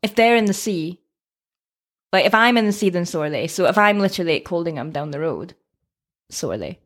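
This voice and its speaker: A woman's voice: on the low side, animated. A woman in her twenties with a Scottish Borders accent, co-hosting a casual podcast chat in a playful, teasing way. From Scotland. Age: 20s. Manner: playful, teasing, quick to laugh. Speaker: co-host.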